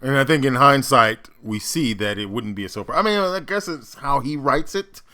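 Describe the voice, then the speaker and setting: A man hosting a reaction video, musing aloud in a halting, casual way with fillers. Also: medium pitch, animated, bright, forceful tone.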